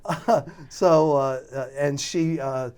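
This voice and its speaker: An American man being interviewed as a radio drama producer, talking casually and haltingly as he thinks aloud. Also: on the high side, a little mellow, clear, resonant tone.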